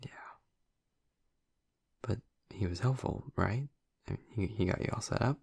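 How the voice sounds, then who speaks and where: Hushed, gravelly young male vampire played by a man. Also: lowish voice, measured pace, no room sound, clean studio sound, exaggerated voice. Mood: curious, attentive. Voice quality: breathy, husky, gravelly murmur. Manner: hesitant, rambling, casual. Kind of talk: intimate audio roleplay addressed to the listener.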